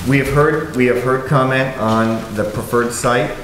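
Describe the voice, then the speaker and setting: A man chairing a town board meeting, calling on speakers and keeping order deliberately. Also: mid-range voice, fairly even intonation. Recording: very echoey hall, clear background noise.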